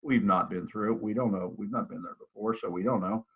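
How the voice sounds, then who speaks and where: An older man with a low voice talks casually on a video call, rambling and restarting his sentences. The audio comes through thin and tinny, like a phone line. He sounds like a broker chatting about his plans and his office. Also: a little fast, husky. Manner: rambling, with many restarts and fillers. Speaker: real estate broker and office manager. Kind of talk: casual interview over a live video call.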